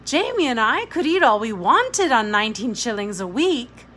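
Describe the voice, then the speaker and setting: A woman narrating a novel aloud in an expressive storybook reading style. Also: a little high, normal speed, a little hiss or hum.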